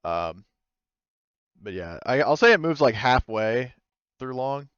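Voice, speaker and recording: A man's voice: medium pitch, sing-song, slow, a bit bright, clear, resonant tone, no echo, muffled recording. A man acting as game master, narrating casually and tentatively as he thinks aloud. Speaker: game master of a tabletop roleplaying game.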